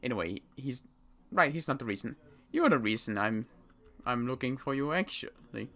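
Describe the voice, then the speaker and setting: Bright, animated man as an inquisitive survivor. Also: medium pitch, moderate pace. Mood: matter-of-fact. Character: inquisitive, wary male survivor in a zombie world. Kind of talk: improvised roleplay dialogue.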